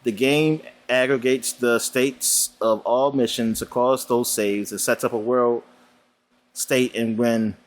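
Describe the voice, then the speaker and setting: Man, gaming podcast co-host, easygoing, crude humor, mid voice. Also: mid-range voice. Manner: laid-back, laughs often, crude. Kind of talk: casual chat.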